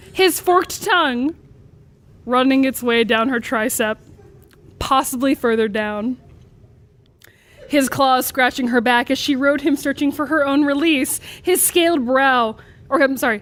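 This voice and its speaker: High-pitched woman reading a comic story. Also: fairly bright tone. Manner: amused, breaking into laughter as she reads.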